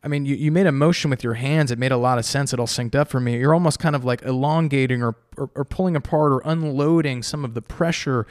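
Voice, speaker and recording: A man's voice: medium pitch, fast talker, hardly any pauses, no echo, studio quality. A man hosting a podcast, summarizing his guest's points in long, rambling sentences full of fillers. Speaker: podcast host. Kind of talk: casual podcast interview.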